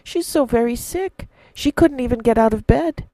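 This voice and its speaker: A woman voicing a frightened ten-year-old boy in a spoken story, high and urgent. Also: normal speed, mellow, no room sound, natural voice. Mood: tearful.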